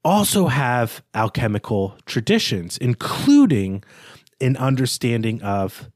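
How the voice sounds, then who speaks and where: A man's voice: medium pitch, wildly swooping pitch, slow pace, a little mellow, dry recording, clean studio sound. A man co-hosting a literary podcast, talking through a prepared argument in a discursive, bookish and self-deprecating way. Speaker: podcast co-host presenting his reading of a novel.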